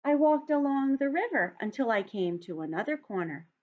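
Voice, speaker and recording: A friendly, patient frog from a children's storybook , read by a woman, with a high voice. He tells his tale in a measured, expressive way. The sound is slightly dull and lo-fi. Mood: wistful, storytelling. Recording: dull, lo-fi home recording.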